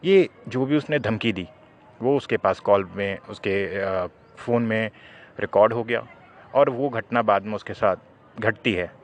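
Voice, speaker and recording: A man's voice: fairly low pitch, a little slow. A man presenting a legal explainer, speaking didactically to viewers and posing questions that he then answers.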